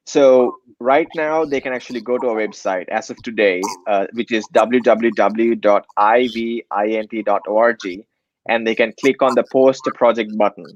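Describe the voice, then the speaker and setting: A man's voice: lowish voice, moderate pace, highs cut off. A young man from Sri Lanka, a nonprofit director, earnestly explaining his project in an interview with many fillers. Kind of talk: interview answers.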